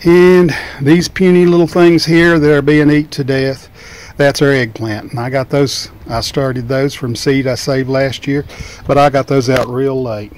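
A man's voice: mid-range voice, steady tone, measured pace. A man giving a casual, folksy tour of his home garden, explaining as he films on a deck outdoors with a handheld camera. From Tennessee, United States. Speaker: gardening vlogger.

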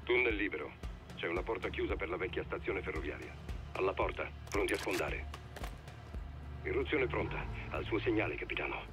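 An actor playing a focused, grim special forces soldier in a video game, reporting in urgent, clipped tactical phrases. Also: male voice, sits low, animated, a little fast, music in the background. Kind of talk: cinematic video game acting.

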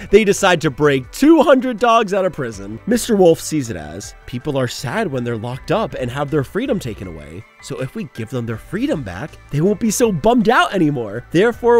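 A young man hosting a casual commentary video, with sarcastic, self-mocking asides. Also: mid-range voice. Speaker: video essay host.

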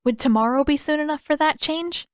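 A woman's voice: sits high, a little fast, no room sound, studio quality, voice pushed up, close to an everyday voice. A woman voicing a frank, caring, determined teenage girl with direct, casual phrasing in an audiobook story.

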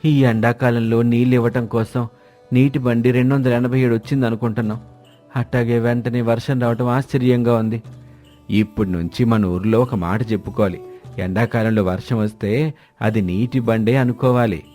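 A man's voice: medium pitch, steady tone, moderate pace, mellow, faint music behind. A man reading scripted dialogue for village characters in a moral story. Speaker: story voice actor.

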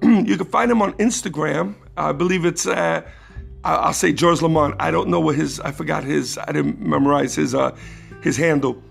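A man hosting his own podcast in a casual, rambling monologue, his voice raspy, with many restarts that turn emphatic. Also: a little high, moderate pace, faint music behind. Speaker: podcast host.

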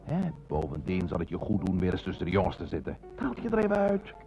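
A domineering man in a radio drama, coaxing and brusque. His low voice is gravelly and lively in pitch, on a slightly muffled recording. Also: quick pace, music in the background, exaggerated voice. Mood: insistent. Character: bossy, insistent man pressuring a nervous friend. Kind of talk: Dutch radio play acting. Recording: dull old radio play recording.

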